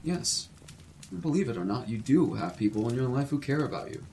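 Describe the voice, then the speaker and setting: A man acting a kindly, fretful college professor in an audio drama, expressive and fatherly. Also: mid-range voice, brisk, slight background noise, natural voice. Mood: worried, caring.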